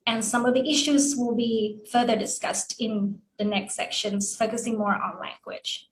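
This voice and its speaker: A woman's voice: a little high, fairly even intonation. Woman lecturing in an echoey room. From Thailand. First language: Thai. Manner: polite, measured, explanatory. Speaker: guest lecturer, sociolinguist. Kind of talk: online academic lecture.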